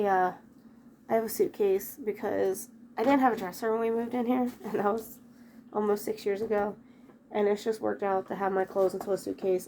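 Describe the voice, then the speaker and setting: A woman's voice: medium pitch, dark tone, slight background noise. A woman with a head cold chatting casually while she tidies up her bedroom, rambling and breaking off mid-thought. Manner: rambling, chatty, self-interrupting. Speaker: vlogger. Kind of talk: casual chat while tidying. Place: bedroom at home.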